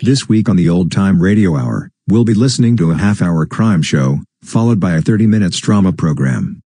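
A man's voice: low-pitched, animated, normal speed, dark tone, slight background noise. A man hosting a radio programme, reading a scripted introduction. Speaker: radio show host.

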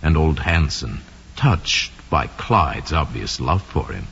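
An actor playing an ominous narrator of crime case histories, solemn and theatrical, in the style of 1940s radio drama. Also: male voice, deep voice, a bit bright, slightly rough, full character voice. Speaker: announcer and narrator. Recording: dull, reverberant old radio recording.

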